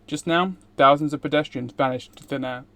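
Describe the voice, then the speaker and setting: A male streamer reads from a visual novel during a livestream. His voice sits a little higher than most men's. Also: brisk, bright, forceful tone.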